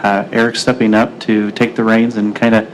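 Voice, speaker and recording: A man's voice: lowish voice, little pitch movement, normal speed. Informal man chairing meeting, noisy room. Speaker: mayor chairing a city meeting. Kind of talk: procedural public meeting talk. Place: indoor city council meeting room.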